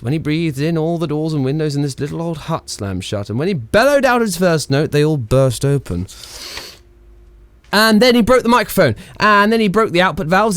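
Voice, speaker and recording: A whimsical 1920s radio announcer, acted by a man, with a fairly high voice in a close, dry sound. He rambles theatrically through comic radio-play patter, hesitating and slipping cheeky asides to his listeners. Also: no room sound.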